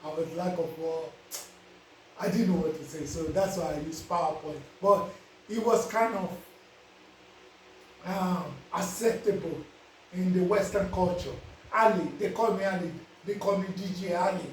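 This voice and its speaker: A man's voice: sits high, steady tone, normal speed, pauses often, recorded from a distance, slight background noise. A man with a Nigerian accent, giving casual life advice to his viewers and often asking if they understand. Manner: preachy, repeats "you understand?". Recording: very echoey, voice away from the mic.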